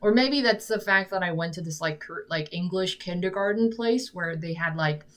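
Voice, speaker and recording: Young female streamer, Korean first language, low register, loose rambling delivery full of "like". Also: moderate pace, a bit bright. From South Korea. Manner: rambling, fills nearly every phrase with "like". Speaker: singer-songwriter streamer. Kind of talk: casual live-stream chat with viewers.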